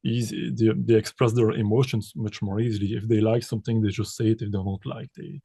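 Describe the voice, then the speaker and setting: Halting Belgian pianist, mellow voice. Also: male voice, lowish voice, flat delivery, vocal fry, slightly rough, no room sound. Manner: hesitant, many repeated words and restarts. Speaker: composer and pianist, interview guest. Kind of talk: casual interview answers.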